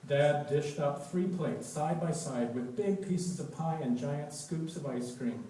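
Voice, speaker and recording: Male storyteller for young listeners, expressive, soft-toned, recorded far off in a reverberant space. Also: a little high, unhurried. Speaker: story reader leading children at a church. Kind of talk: read-aloud storytelling for children. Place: large echoey indoor church space. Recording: echoey room, distant mic, faint noise.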